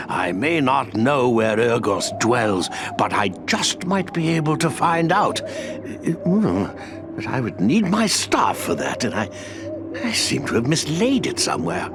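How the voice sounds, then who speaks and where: An actor playing an eccentric, kindly old wizard who talks to animals, whimsical and rambling in a video game cutscene. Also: male voice, a little high, big pitch swings, slow, background noise, exaggerated voice. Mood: pleased, whimsical.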